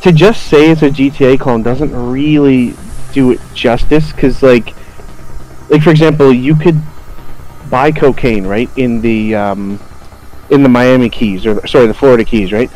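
A man's voice: mid-range voice, normal speed, darkish tone, poor audio. A man co-hosting a podcast, chatting casually about video games in colloquial language with plenty of swearing. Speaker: podcast co-host. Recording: music playing behind the voice. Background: background music.

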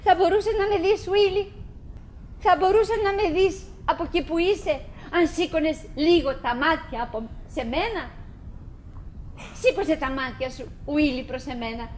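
A wistful chatty middle-aged wife, high voice, rambly radio play monologue, noisy old recording. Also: female voice. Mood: pleading. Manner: musing, rambling, dramatic pauses, swinging from exclamation to murmur. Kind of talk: theatrical radio drama monologue.

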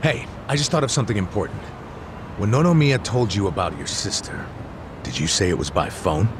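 Shrewd, suspicious deep-voiced man acted. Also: expressive, a little fast, noisy background, close to an everyday voice. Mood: probing. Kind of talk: dramatic video game dubbing.

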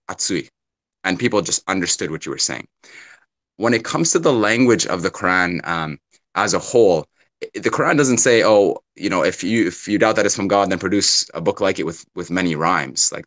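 A man's voice: sits low, a bit bright. A young man on a video call, earnestly explaining his view with everyday examples and many fillers. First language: English. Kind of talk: casual debate on a video call. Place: small indoor room. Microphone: phone or laptop on a video call.

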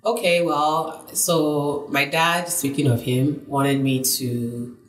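Woman telling lively stories. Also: low voice, takes it slowly, reverberant, low-quality recording. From Nigeria. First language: Yoruba. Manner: animated storyteller, acts out voices in her tales. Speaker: podcast guest. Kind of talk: casual storytelling interview.

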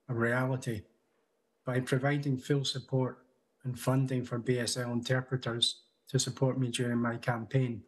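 A man in his thirties giving a prepared conference talk over a video call from a room at home, measured, with small restarts. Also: mid-range voice, slightly rough, rough recording. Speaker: conference speaker. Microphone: video call webcam.

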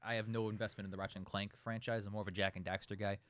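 A man co-hosting a relaxed, conversational discussion of films. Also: lowish voice, very fast. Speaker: podcast co-host. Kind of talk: casual film discussion.

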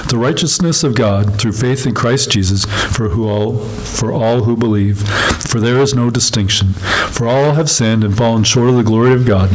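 A middle-aged man reading scripture aloud in a plain, measured way at a lectern microphone in a small church room. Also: medium pitch, slight background noise, low-quality recording. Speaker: scripture reader. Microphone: gooseneck lectern microphone.

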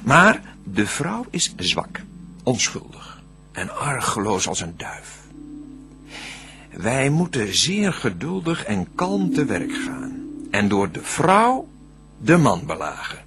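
An actor playing a cunning, smooth-tongued devil in a Dutch verse radio drama, declaiming deliberately with a husky voice. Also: male voice, medium pitch, wildly swooping pitch, over music, full character voice. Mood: calculating. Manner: theatrical, scheming, deliberate declamation. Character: cunning, smooth-tongued male devil plotting to tempt mankind.

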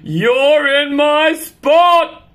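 A woman voicing a grumpy, self-important house cat from a storybook in an exaggerated, drawn-out way. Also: high voice, voice pushed up. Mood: grumpy, indignant.

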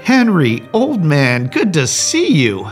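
A man's voice: on the high side, wildly swooping pitch, slow, soft background music, exaggerated voice. Man acting hearty, swooping Victorian society chum. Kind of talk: theatrical audio drama acting.

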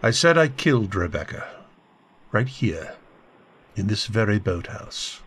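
A man playing a tormented, bitter, guilt-ridden husband with a husky voice, in old-time radio drama style. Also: lowish voice, very animated, unhurried, fairly bright tone, exaggerated voice. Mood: bitter, confessing. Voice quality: husky, gravelly.

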